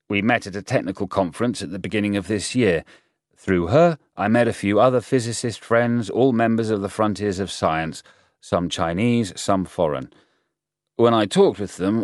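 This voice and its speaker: Man as a reserved, low-voiced professor. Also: no echo, studio quality, close to an everyday voice. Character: guarded, restrained male applied-research professor.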